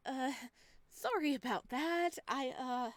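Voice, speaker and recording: A woman's voice: sits high, slow, no echo. A woman voicing an enthusiastic, chatty traveler staying as a houseguest in a visual novel, earnest and bubbly. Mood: gushing. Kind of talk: visual novel protagonist reading.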